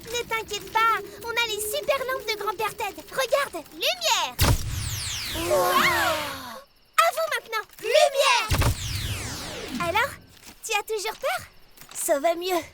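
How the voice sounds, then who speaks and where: A performer voicing an eager, childlike young truck in a French cartoon, with an excitable, exclamatory delivery. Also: very high voice, takes it slowly, pauses often, heavy background noise, heavily acted. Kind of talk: French cartoon dub acting. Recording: loud music behind the voices.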